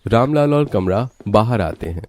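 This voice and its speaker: A man's voice: lowish voice, expressive, normal speed, darkish tone. A man narrating a scripted village story for a cartoon.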